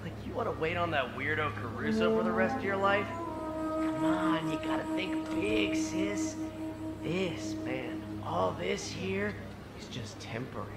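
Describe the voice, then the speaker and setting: A man's voice: very high voice, lively intonation, unhurried, heavily acted. A man playing a laid-back, lazy younger brother in a video game, nonchalant and slangy with a drawl. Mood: laid-back, dismissive. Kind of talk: video game character acting. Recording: roomy echo under loud music.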